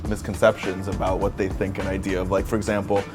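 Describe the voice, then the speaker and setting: A man with a somewhat low voice speaks earnestly in a casual chat. He often stumbles and repeats himself as he gathers his thoughts. Music plays clearly behind him. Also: flat delivery. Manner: earnest, halting with repeated words. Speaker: actor co-hosting a chat. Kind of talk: casual conversation.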